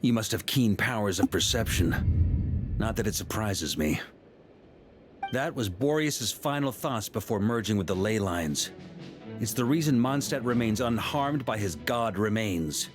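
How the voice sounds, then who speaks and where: Cast a confident knight leader with an animated, commanding delivery. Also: male voice, on the low side. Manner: measured, authoritative explanations. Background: game music.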